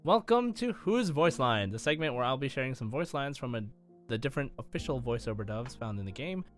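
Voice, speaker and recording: Music runs behind a man with a mid-pitched voice. He talks casually as a podcast co-host, presenting a video game quiz in a conversational way. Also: very animated, brisk.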